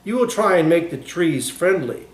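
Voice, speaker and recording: A man voicing a courteous, gently enigmatic male playwright in a storybook, polite, measured and wry. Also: on the high side, animated, reverberant, voice pushed up, close to an everyday voice. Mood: polite refusal.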